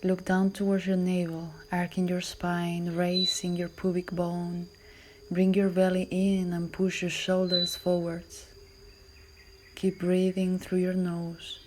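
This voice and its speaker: A woman yoga instructor guiding a class in a calm, measured, soothing voice that is soft and at times breathy. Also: fairly low pitch, slight background noise. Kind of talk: guided yoga and relaxation instruction.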